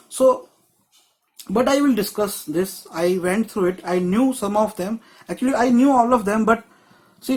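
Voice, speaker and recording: A man's voice: high-pitched, darkish tone, low-quality recording. A man teaching a screenwriting class on a video call, explaining in a loose, rambling way with many restarts. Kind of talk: live online class.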